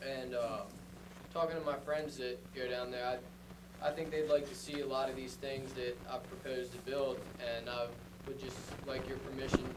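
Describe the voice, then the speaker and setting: Young guy presenting at a public meeting, kinda hesitant, mic far off, loud room noise. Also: medium pitch, level tone, normal speed. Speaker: resident presenting a proposal.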